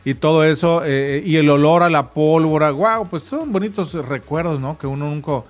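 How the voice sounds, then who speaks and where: A man's voice: fairly high pitch, normal speed. A man with a Mexican accent hosting a casual morning show chat. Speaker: radio show host.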